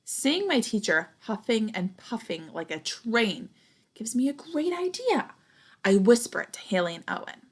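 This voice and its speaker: A young woman reads a storybook to children at an unhurried pace, with noticeable vocal fry. Her delivery is expressive and deliberate, and she adds little comments and questions for the kids. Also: fairly high pitch. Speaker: storybook reader, teacher. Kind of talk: children's picture book read-aloud.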